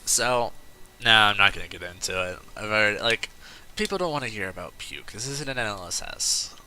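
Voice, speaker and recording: A man's voice: on the low side, expressive, moderate pace, sharp tone. A man hosting a let's play, chatting casually and rambling into off-topic asides while he plays. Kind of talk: casual gameplay commentary.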